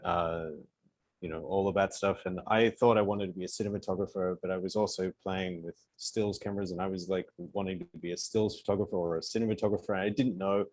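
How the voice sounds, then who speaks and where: A man's voice: low-pitched, fairly even intonation, brisk, a little mellow, poor audio. A middle-aged man from New Zealand on an online panel, telling his career story in a joking, self-deprecating way. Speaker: colorist panelist. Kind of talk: casual panel talk.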